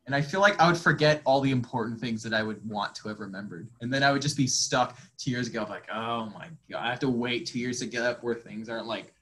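A man's voice: medium pitch, fast talker, few pauses, bright, forceful tone. A young man co-hosting a casual podcast chat, rambling and self-mocking as he asks questions. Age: young adult. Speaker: podcast co-host.

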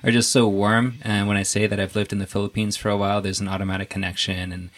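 A young man interviewing a guest in a casual, relaxed way, often restarting his sentences and chuckling. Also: on the low side, fast, very noisy.

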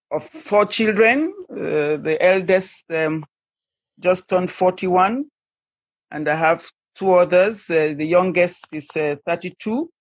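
A woman, sharing her story as an interview guest in a halting, hesitant way. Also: lowish voice, no echo. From Sierra Leone. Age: 60s. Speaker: podcast guest. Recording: dull lo-fi audio.

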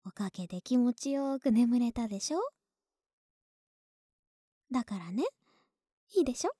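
A woman playing a clingy, sweet young neighbor in an ASMR voice drama, soft and breathy, often whispering, intimate and coaxing, close to the mic. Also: a little high, lively intonation, normal speed, no echo. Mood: coaxing, playful. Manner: intimate, affectionate, hesitant and coaxing. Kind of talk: Japanese ASMR situation voice drama. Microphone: close, dry ASMR microphone.

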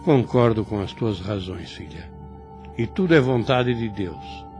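A man's voice: lowish voice, animated, measured pace, a little mellow, highs cut off. A man playing a kindly, fatherly older priest in a radio soap opera, with formal and solemn phrasing. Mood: solemn, approving. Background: music under the voice.

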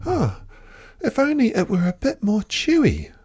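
A storyteller voicing a graceful female emu in a storybook, fluttery, breathy and sighing. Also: medium pitch, huge pitch swings, measured pace, darkish tone, voice pushed up, heavily acted. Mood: fluttery, wistful.

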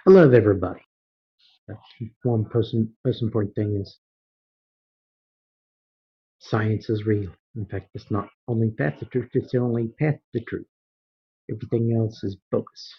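A man leaving a halting personal testimony as a voicemail caller. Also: on the low side, husky. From Kentucky, United States. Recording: muffled lo-fi voicemail.

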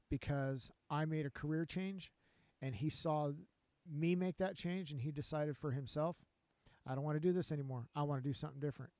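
Guy in his fifties, unhurried and earnest, dry close sound. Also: a little high, a little mellow, dry recording. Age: 50s. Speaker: guest. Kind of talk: casual interview chat.

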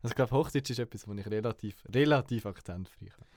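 A man's voice: lowish voice, fast talker, darkish tone. A young man with a Swiss German accent, chatting casually as a podcast co-host in a wry, teasing way. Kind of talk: casual chat.

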